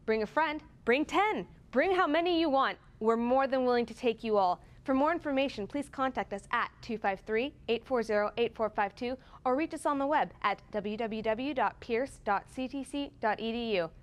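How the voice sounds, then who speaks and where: A woman's voice: fairly high pitch, normal speed, hardly any pauses. A woman presenting a scripted promotional piece in a chirpy, gushing, tongue-in-cheek manner.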